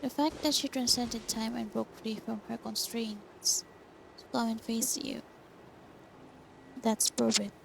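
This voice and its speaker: A woman's voice: on the high side, steady tone, a little slow, creaky, pauses often, noisy background. A woman giving casual reaction commentary as a game streamer.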